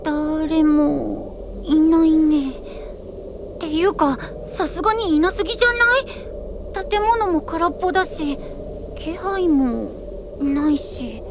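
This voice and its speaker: A woman voicing a small, excitable and timid boyish companion in an anime-style fantasy audio drama, childlike and frank. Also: sits high, takes it slowly, background noise, full character voice. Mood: puzzled.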